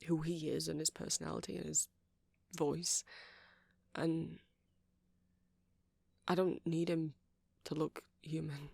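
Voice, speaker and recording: A man's voice: a little high, level tone, slow, gravelly, long pauses, darkish tone, no room sound, heavily acted. A man playing a shy, earnest, nerdy vampire in an ASMR roleplay, speaking in a hesitant, rambling, stammering way. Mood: earnest, defensive. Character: shy, earnest, nerdy male vampire running a music shop.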